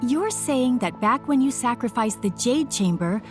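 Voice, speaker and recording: Earnest, high-voiced, lively craftswoman. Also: unhurried. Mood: impressed. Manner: expressive, polite, earnest. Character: eager, polite professional craft-shop woman, friendly and earnest. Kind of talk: video game voice acting. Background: background music.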